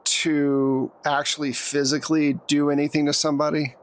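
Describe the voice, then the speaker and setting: Higher-voiced man running a tabletop game. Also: little pitch movement, normal speed. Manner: conversational, second-person scene narration. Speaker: game master. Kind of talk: narrating a tabletop roleplaying game.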